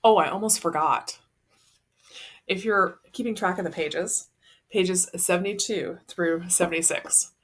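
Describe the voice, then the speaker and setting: A woman's voice: on the low side, unhurried. Woman reading a novel aloud. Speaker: read-aloud narrator. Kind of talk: children's novel read aloud.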